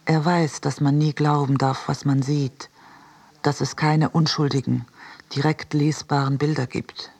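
A reader delivering a scripted literary essay for radio in a measured, deliberate way. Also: medium pitch, flat delivery, vocal fry, darkish tone, slightly rough.

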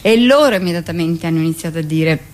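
A woman's voice: lowish voice, animated, quick pace. A woman actress, chatting in a radio interview, laughing often and acting out quoted lines. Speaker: actress and guest.

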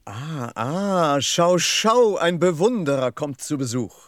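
A man's voice: fairly high pitch, lively intonation, slow pace, dry recording, clean studio sound. An actor playing a vain man who craves admiration, pompous and self-satisfied, in a German radio play. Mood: delighted, preening.

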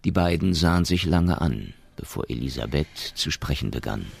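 A man narrating a science fiction radio drama in a measured, matter-of-fact way. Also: rumbling low, animated, gravelly, a little mellow, slight background noise, heavily acted.

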